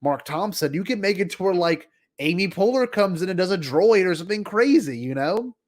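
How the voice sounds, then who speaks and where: A man's voice: sits high, lively intonation, a little fast. A man co-hosting a podcast in casual fan discussion, speaking enthusiastically and emphatically with plenty of slang. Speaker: podcast co-host.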